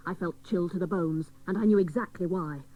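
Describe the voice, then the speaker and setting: A woman playing a dotty private detective, narrating in deadpan, mock hard-boiled style for comic radio drama. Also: on the low side, a little fast, natural voice, poor audio.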